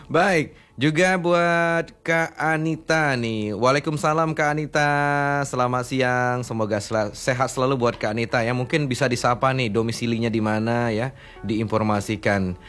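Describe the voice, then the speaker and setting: A man's voice: medium pitch, fairly even intonation, normal speed, a bit bright, soft background music. A man hosting a casual radio chat, friendly and chatty, greeting listeners by name from the studio. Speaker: radio host.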